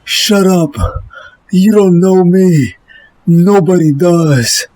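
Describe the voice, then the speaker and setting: A male actor playing a cocky, mocking young supervillain in a monstrous alien suit, with a husky voice, for a motion comic. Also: high voice, very slow, dry recording, exaggerated voice. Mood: defiant, angry. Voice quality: husky, dark. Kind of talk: motion-comic villain acting.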